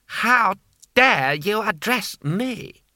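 A man voicing a cold, cruel, scheming male imperial lord in an audiobook, with a slow, haughty drawl. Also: high voice, animated, pushed and bright, dry recording, studio quality, voice pushed up, heavily acted. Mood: outraged. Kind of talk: audiobook character voice.

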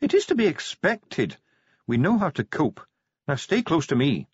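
A man's voice: a little high, huge pitch swings, moderate pace, slightly rough, no echo, lo-fi sound, voice pushed up, exaggerated voice. A man voicing a proud, evasive dwarf official in a storybook, with a formal, clipped and commanding manner. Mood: stern, urgent.

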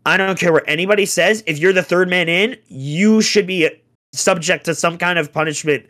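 A young man on a casual sports chat, ranting in an exasperated way with rhetorical questions, his voice going hoarse. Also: high-pitched, moderate pace, a bit bright. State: getting hoarse. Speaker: podcast co-host, hockey fan.

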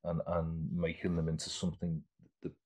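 A man's voice: rumbling low, completely flat delivery, moderate pace, a little mellow, breathy edge. A man in his fifties, an artist being interviewed, talking casually and rambling with many restarts. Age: 50s. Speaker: artist guest.

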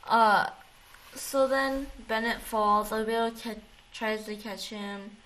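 A woman in a casual chat, retelling things in a halting, rambling way with repeated false starts. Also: on the high side, fairly even intonation, fairly bright tone. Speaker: co-host recapping a video game story. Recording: clearly noisy recording with background ambience.

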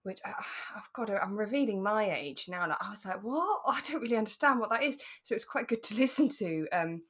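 A woman's voice: mid-range voice, lively intonation, brisk, fairly bright tone, slightly rough. A British woman co-hosting a podcast in a casual, chatty style, speaking informally and with emphasis. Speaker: podcast co-host.

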